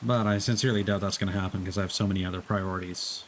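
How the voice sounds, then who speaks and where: A man's voice: low-pitched, steady tone, racing, a little hiss or hum. A man doing casual live gameplay commentary, chatty and thinking aloud. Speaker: game streamer.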